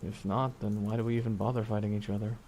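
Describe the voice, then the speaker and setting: A man acting a weary, regretful supervillain in an ASMR audio roleplay, speaking slowly and reflectively with pauses. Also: lowish voice, little pitch movement, warm tone, slight background noise, close to an everyday voice. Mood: grave, gentle. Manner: slow, reflective, pausing delivery. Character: weary, regretful male supervillain beside his dying nemesis.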